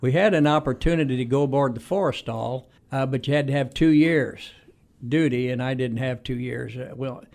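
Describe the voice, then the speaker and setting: A man's voice: medium pitch, moderate pace, gravelly, a little mellow. A man in his eighties from Indiana, reminiscing as an interview guest in a plain-spoken, hesitant way. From Indiana, United States. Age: 80s. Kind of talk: conversational reminiscing interview answers.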